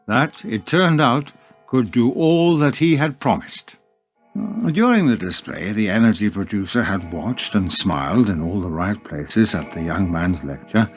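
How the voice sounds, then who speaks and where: Actor as male narrator, lively swooping intonation, deliberate wry delivery, radio drama, faint music behind. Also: medium pitch, lightly acted. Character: wry, philosophical male storyteller narrating a dark fable. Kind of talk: scripted radio play narration.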